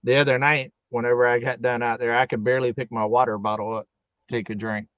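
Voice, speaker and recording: A man's voice: medium pitch, flat delivery, fast talker, clean tone, dry recording, lo-fi sound. A man in his forties chatting casually as co-host of a live stream, with folksy, teasing banter. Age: 40s. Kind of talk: casual chat.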